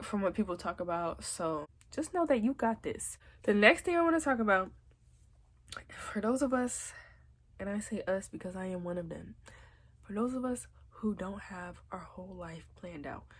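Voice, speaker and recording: Husky, stuffy-nosed young woman vlogging. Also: fairly low pitch. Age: young adult. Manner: chatty, reassuring, many likes and literallys. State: stuffy nose from a cold. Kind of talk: casual advice vlog.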